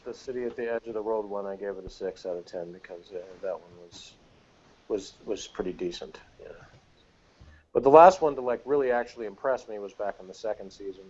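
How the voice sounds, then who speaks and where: A middle-aged man giving a casual, opinionated review in a rambling, halting way that keeps interrupting itself. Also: on the low side, darkish tone, slightly rough, lo-fi sound. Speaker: podcast panelist reviewing TV episodes.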